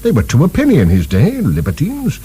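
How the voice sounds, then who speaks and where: An actor playing an urbane, wry asylum director speaking conversationally in a radio drama. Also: male voice, on the high side, huge pitch swings, a little fast, mellow, breathy edge, soft background music, exaggerated voice. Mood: musing. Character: urbane, genial, musing male asylum director. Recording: 1990 radio broadcast, faint hum.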